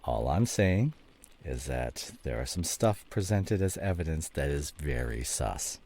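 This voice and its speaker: A man acting a devoted, remorseful husband in an intimate ASMR roleplay drama, soft and halting, with a husky voice. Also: extremely low pitch, animated, heavily acted. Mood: casual, teasing. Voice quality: husky, gravelly, often breathy. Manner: soft, halting, drops into whispers. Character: remorseful, devoted husband nursing his injured wife, teasing.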